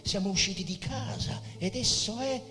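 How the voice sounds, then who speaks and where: An actor playing a weary, dreamy, melancholy poet near death, with theatrical, measured and expressive literary delivery. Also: male voice, high voice, close to an everyday voice. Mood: melancholy, intense. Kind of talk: literary stage monologue and narration. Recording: reverberant hall with music behind.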